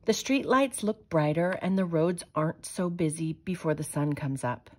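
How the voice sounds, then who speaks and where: Low-pitched woman reading a storybook aloud. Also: moderate pace, a little hiss or hum. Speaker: teacher reading a picture book aloud.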